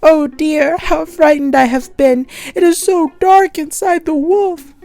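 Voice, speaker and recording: A woman's voice: high voice, fairly even intonation, measured pace, mellow, voice pushed up. A woman voicing a sweet, wide-eyed little girl in a storybook, exclaiming with wonder. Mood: frightened.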